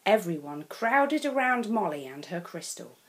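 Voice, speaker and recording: A woman's voice: mid-range voice, slight background noise. A woman from England with an English accent reading a children's picture book aloud as a storyteller. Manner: slow, expressive read-aloud.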